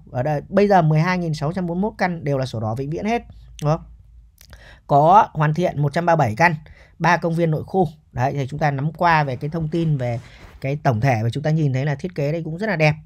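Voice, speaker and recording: A man giving a casual, chatty property walkthrough as a real estate agent, often ending points with a checking question. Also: a little high.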